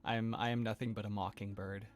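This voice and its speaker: A man's voice: lowish voice, little pitch movement, fairly quick, soft background music. A man co-hosting a casual livestream who laughs often and reads requests out from the chat. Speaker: livestream co-host.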